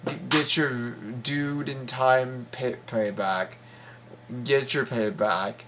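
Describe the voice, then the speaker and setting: A man hosts a casual reaction video at an unhurried pace. He often stalls and circles back over the same words. There is faint noise behind him. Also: medium pitch, steady tone, pushed and bright. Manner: halting, repeats words and phrases.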